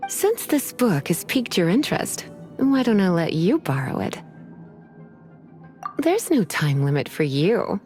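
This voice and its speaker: A woman voicing a languid, teasing adult librarian mage in a video game, speaking in a slow, drawling way. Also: lowish voice, big pitch swings, a little mellow. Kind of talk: game voice acting. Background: faint game music.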